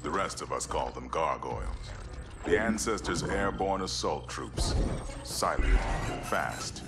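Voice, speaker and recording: A middle-aged American general in a video game war briefing. His voice is very low, solemn and authoritative, with weary gravity. Loud cinematic music runs underneath. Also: expressive, takes it slowly, full character voice. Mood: grave. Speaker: military commander. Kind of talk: dramatic scripted briefing narration.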